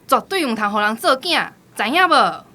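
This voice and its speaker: A woman's voice: on the high side, big pitch swings, moderate pace, bright, forceful tone, heavily acted. A woman playing an earnest, stern aunt with a Taiwanese accent in a radio drama. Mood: urgent, stern.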